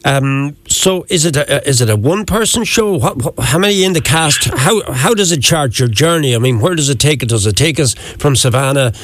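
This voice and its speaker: A man's voice: a little high, animated, normal speed, few pauses, a bit bright, no room sound. Man, radio host, dry close studio mic, conversational. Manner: conversational, stumbles on place names. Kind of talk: radio interview. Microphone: studio microphone.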